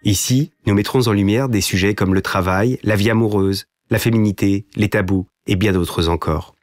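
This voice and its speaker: Man, low voice, measured formal announcing, scripted intro, clear music underneath. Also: darkish tone. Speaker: podcast announcer. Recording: voice over music.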